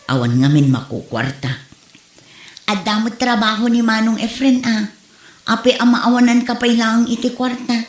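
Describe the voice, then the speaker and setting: A man's voice: very high-pitched, normal speed. A man playing a stingy, nagging wife and mother who complains and scolds, in an amateur comedy drama. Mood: complaining. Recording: roomy, echoing room sound.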